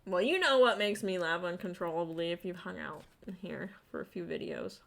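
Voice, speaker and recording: A woman, chatting casually to her viewers while unboxing a restock. She giggles often, and her voice is gravelly from being sick with the flu. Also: on the low side, normal speed. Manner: bubbly, giggly, talks to viewers. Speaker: vlogger and English teacher. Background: crinkling packaging.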